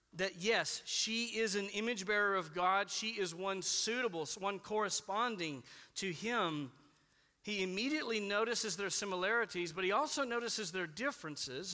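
Bright-voiced preacher in a reverberant hall. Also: male voice. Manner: earnest, building emphasis then dropping low on key words. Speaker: pastor. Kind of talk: sermon.

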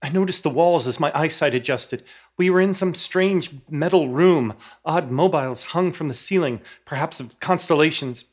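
A man's voice: fairly high pitch, normal speed. Man narrating a horror radio play. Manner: storytelling narration, theatrically urgent. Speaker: narrator and protagonist.

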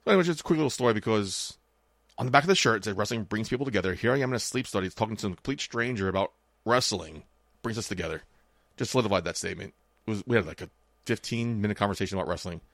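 A man's voice: on the low side, animated, rapid-fire, fairly bright tone, no echo. A man in his late thirties co-hosting a podcast, telling a story in casual chat, swearing freely and acting out the quoted lines. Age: 30s. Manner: swears freely, tells anecdotes with quoted dialogue. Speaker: podcast co-host.

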